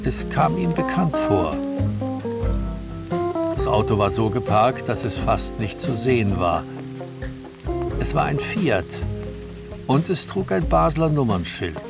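A man's voice: medium pitch, big pitch swings, slow pace, vocal fry, long pauses, loud music behind the voice. A man narrating a crime story for a radio drama in a scripted, storytelling style.

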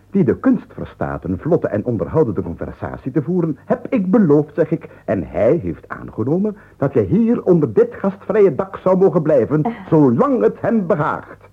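An actor playing a scheming, sugary, florid husband with a husky voice and a Flemish accent in a comic radio play. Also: male voice, medium pitch, wildly swooping pitch, normal speed, phone-quality sound. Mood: mock-solemn, triumphant. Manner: florid, mock-formal, teasing. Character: gleeful, scheming husband, mockingly courtly and sugary. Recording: band-limited 1980s radio play.

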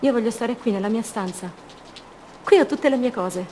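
Female actor, fragile haunted woman, fairly high voice, childlike repetition, broken phrases, theatrical radio drama, noise behind. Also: moderate pace, a little mellow, natural voice, low-quality recording. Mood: withdrawn, pained. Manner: fragmented short phrases, childlike repetition, dwelling on words. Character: fragile, haunted captive woman clinging to words.

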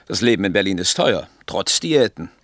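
An actor playing a wily, grumbling campaign adviser with a clipped, argumentative manner in a 1960s German radio satire. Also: male voice, sits low, lively intonation, moderate pace, fairly bright tone, no room sound, studio quality. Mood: cautioning.

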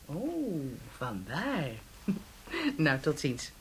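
A woman playing a brisk, matter-of-fact police investigator with a dryly teasing manner in a naturalistic radio drama. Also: sits low, huge pitch swings, slightly rough, heavily acted. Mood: friendly teasing. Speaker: actor in a radio play.

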